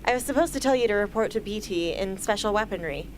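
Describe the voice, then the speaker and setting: A woman's voice: medium pitch, lively intonation, fast talker, natural voice, low-quality recording. Radio play lead: determined teen girl soldier, woman acting, earnest, light background noise. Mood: friendly. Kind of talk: amateur radio drama acting and narration. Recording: hobby recording with ambient noise.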